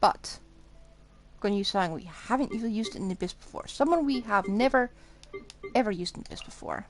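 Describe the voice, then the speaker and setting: A woman's voice: mid-range voice, expressive, fast. A woman giving casual, offhand live commentary while playing a video game. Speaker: game streamer. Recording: game music behind the voice. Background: video game music and combat sounds.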